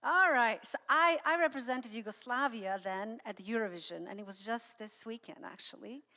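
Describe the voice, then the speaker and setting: A middle-aged woman from Croatia with a Croatian accent, giving an informal inspirational talk with halting, anecdotal storytelling. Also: a little high, bright, forceful tone. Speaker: guest speaker and singer. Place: church sanctuary, speaking to an audience. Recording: long hall reverb.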